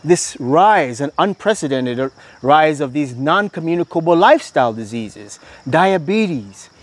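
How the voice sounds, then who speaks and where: A man's voice: a little high, lively intonation, no room sound. Reflective American man, Miami accent. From Miami, Florida. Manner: measured, reflective, philosophical. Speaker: organic farm owner, interviewee. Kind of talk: casual interview. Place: outdoors on a farm, beside a water tank. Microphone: lapel mic.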